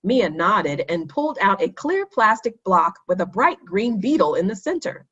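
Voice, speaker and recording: A woman reading a children's story aloud, addressing young listeners in clear, instructive phrasing. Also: medium pitch, sing-song, normal speed, fairly bright tone, muffled recording. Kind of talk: expressive read-aloud for children.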